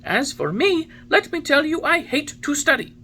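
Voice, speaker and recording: A woman's voice: sits high, very animated, moderate pace, pushed and bright, slight background noise, full character voice. A woman voicing an impudent wooden boy marionette with cheeky, defiant retorts.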